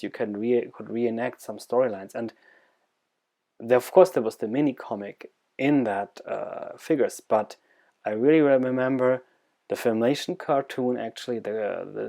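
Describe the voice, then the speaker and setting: A man with a German accent giving an informal presentation, halting and searching for words, with enthusiasm for what he presents. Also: medium pitch, mellow.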